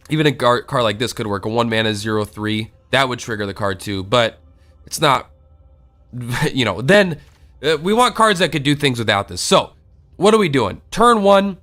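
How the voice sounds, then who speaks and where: A man's voice: medium pitch, sharp tone. A man with a stuffy, congested voice from a cold, giving a casual, rambling guide to his card game deck as a streamer. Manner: rambling, many fillers and self-corrections.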